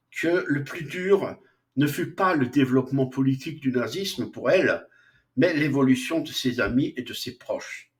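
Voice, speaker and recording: Slow-talking hesitant male lecturer. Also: fairly high pitch. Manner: slow, hesitant, frequent fillers and restarts. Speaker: philosophy lecturer. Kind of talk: unscripted lecture.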